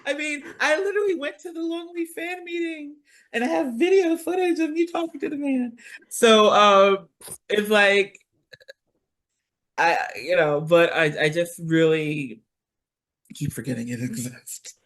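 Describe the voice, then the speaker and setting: A woman's voice: fairly high pitch, unhurried. A woman in casual chat, talking bluntly and swearing freely. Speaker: podcast guest.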